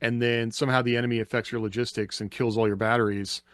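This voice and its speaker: A man's voice: medium pitch, flat delivery, quick pace, dry recording, clean studio sound. A man giving his thoughts in a casual podcast panel discussion.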